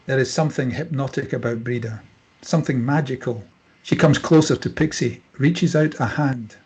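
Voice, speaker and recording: A man narrating scene headings and stage directions at a screenplay table read in a husky voice. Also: medium pitch, normal speed, darkish tone.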